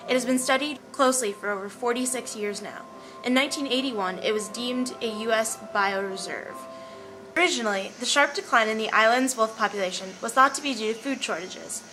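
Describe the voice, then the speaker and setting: A woman reading a scripted informational narration. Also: on the high side. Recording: noisy amateur recording with clear background noise.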